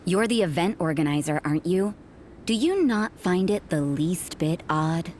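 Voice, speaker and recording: A woman voicing a low, sardonic church sister. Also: animated, moderate pace, a little mellow, a little hiss or hum, close to an everyday voice. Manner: dry, cutting, mocking. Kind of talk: video game cutscene dialogue.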